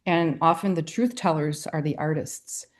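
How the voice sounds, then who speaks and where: Low-voiced middle-aged woman, reflective. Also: moderate pace. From Wisconsin. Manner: earnest and reflective, with light laughs. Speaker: guest poet and writer. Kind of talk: interview answers.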